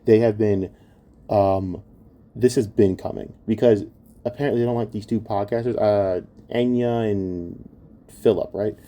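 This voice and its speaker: A man's voice: on the low side, steady tone, measured pace, mellow. A man giving an opinionated commentary rant in a blunt, exasperated and scornful manner, swearing heavily. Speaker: online commentator.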